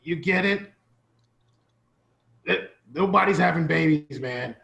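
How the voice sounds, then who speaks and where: A man's voice: fairly high pitch, slow pace, vocal fry, pushed and bright, full character voice. A man playing a worried, earnest laboratory assistant cautioning his boss in an improvised comedy sketch, recorded in a roomy space. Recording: roomy, echoing indoor sound.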